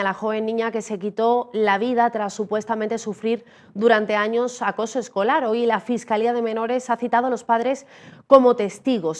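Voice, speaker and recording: A woman reading the local news as anchor in a measured, formal way. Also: a little high. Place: TV studio.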